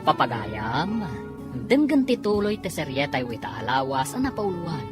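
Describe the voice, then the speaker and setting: Low-voiced woman narrating drama over music. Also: very animated, normal speed.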